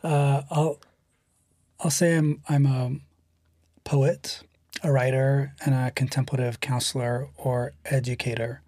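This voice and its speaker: Thirties, stutter with silent pauses, mellow, husky, dry close sound. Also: medium pitch, little pitch movement, no echo. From Lebanon. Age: 30s. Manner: slow, measured and thoughtful. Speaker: guest, poet and writer. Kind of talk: reflective interview conversation.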